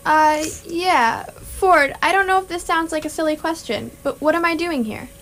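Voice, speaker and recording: Woman playing a bewildered traveller, high bright voice. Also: normal speed. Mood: bewildered, upset. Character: bewildered, plaintive, polite stranded human traveller. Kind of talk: amateur radio theatre dialogue.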